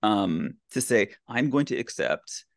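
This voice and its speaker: A man with a lowish, creaky voice, recorded close and dry, chats as a podcast guest. He comments casually, pausing partway through sentences as he works out his thought. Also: expressive, no echo.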